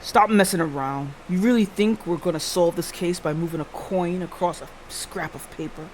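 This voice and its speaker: Lady doing a grumpy tired police boss for a game, low and deadpan, totally skeptical, slight noise behind. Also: normal speed, lightly acted. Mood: irritated. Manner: curt, grumbling, deadpan.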